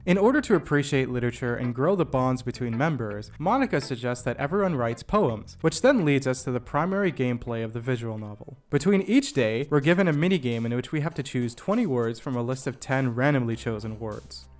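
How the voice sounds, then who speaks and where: A man's voice: medium pitch, expressive, fairly quick, few pauses. A young adult man narrating a scripted video essay, with a self-deprecating, jokey manner. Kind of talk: scripted narration with comedic asides. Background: background music.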